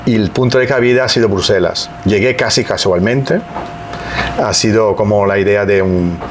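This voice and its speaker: A man's voice: fairly low pitch, normal speed, a little hiss or hum. A middle-aged man from Italy's Veneto, speaking Spanish with an Italian accent as a podcast guest, reflective and hesitant with many restarts. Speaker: guest, EU civil servant. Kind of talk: podcast interview.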